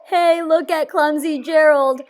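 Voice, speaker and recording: A woman voicing a crowd of excitable storybook jungle animals, exclaiming loudly in an exaggerated way. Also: high voice, unhurried, pitched above the performer's own voice. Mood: sneering. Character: sneering, mocking jungle animals, later amazed crowd.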